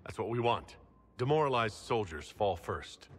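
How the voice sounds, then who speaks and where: Really low voiced stern warrior boss, decisive, bit of reverb, fantasy game. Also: male voice, sing-song, normal speed, slightly rough, soft background music. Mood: commanding. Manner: authoritative, decisive. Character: commanding, stern horned warrior leader.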